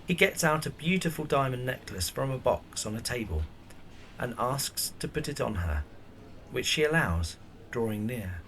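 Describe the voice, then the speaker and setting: Guy narrating a radio play, mid-pitched voice, bit of background noise. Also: animated, moderate pace, a bit bright. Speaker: audio drama narrator. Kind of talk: scripted narration.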